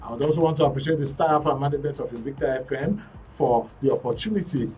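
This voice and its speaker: A man's voice: mid-range voice, dark tone, faint music behind. Male radio host, mic a bit far. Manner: brisk, cuts in with short acknowledgements. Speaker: radio talk show host. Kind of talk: live call-in radio talk. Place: radio studio.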